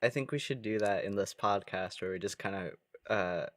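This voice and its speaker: A man's voice: fairly low pitch, fairly quick, a bit bright, dry recording, clean studio sound. A man co-hosting a podcast in casual comedic banter, rambling along playfully. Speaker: podcast co-host.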